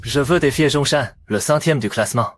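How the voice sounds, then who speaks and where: A man playing an impulsive young martial arts student in a dubbed animated drama. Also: mid-range voice, brisk, dry recording, lightly acted. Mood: defiant. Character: impulsive young male prodigy, newcomer martial student, secretly formidable.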